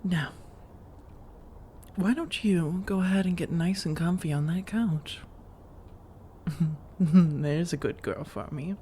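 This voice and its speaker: A woman playing a seductive, teasing vampire roommate in soft-spoken ASMR roleplay, coaxing and reassuring, often drifting into whispers. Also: lowish voice, slow, long pauses, slight background noise. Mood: flirty, teasing.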